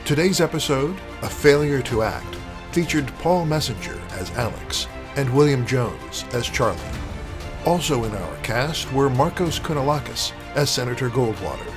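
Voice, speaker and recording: A man reading scripted closing narration as an announcer, formal and measured. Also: mid-range voice, animated, music in the background.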